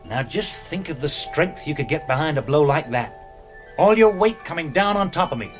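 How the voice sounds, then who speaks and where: A man's voice: fairly high pitch, lively intonation, moderate pace, soft background music, lightly acted. An actor with a British accent playing a bossy, theatrical, wily doctor who explains like a showman in a radio drama. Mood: brisk, instructing. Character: commanding, theatrical, wily gentleman doctor turned amateur sleuth.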